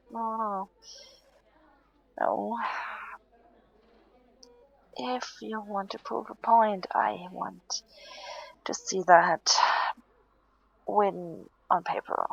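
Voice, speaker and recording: A woman playing a tired, stubborn but playful patient in an intimate audio roleplay, murmuring sleepily and haltingly, often close to a whisper. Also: mid-range voice, lo-fi sound, heavily acted. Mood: playful defiance. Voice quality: breathy, often dropping to a whisper.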